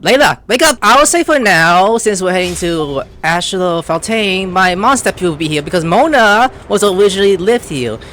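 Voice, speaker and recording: An excitable gaming YouTuber giving casual, slangy commentary, jumping between muttered asides and squeals while playfully talking to game characters. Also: moderate pace, few pauses, slight background noise. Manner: exclamatory, swings from muttered asides to high squeals.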